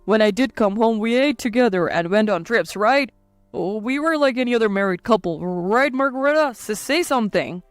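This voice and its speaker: A woman's voice: a little high, animated, normal speed, no room sound, soft background music, lightly acted. A woman voicing a defensive, flustered man with stammering, pleading delivery in a dramatized story. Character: defensive, flustered cheating husband, adult man. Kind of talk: scripted story dialogue.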